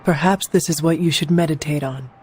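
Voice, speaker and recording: A woman playing an earnest, formal, steady young warrior heroine in a video game cutscene. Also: low voice, a little fast, breathy edge. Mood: supportive.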